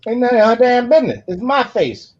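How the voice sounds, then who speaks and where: A man's voice: very high-pitched, very animated, fairly quick, a little mellow. A man with an American accent joking in a folksy way during a casual online group chat. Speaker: chat participant. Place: remote online call. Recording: dull online call audio.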